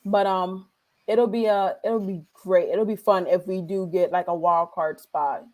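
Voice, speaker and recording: A woman's voice: fairly low pitch, fairly even intonation, unhurried, a little mellow, poor audio. A woman co-hosting a casual sports talk show, chatty and analytic, often trailing off mid-thought.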